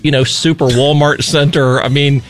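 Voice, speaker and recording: A man's voice: on the high side, steady tone, normal speed, faint music behind. A man hosting a radio talk show, joking and teasing his co-host.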